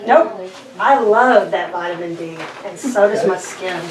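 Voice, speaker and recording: A woman's voice: fairly low pitch, measured pace, very noisy, close to an everyday voice. A woman playing a teasing, playful female space ranger at an informal sci-fi table read. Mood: breezy. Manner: sarcastic, playful. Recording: distant echoey room mic, chatter behind.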